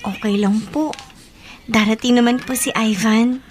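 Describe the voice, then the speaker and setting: A woman's voice: a little high, fairly even intonation, measured pace, a little hiss or hum, close to an everyday voice. An actress playing a young pregnant woman who talks in an earnest, pleading and respectful way. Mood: hopeful. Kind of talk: radio drama acting.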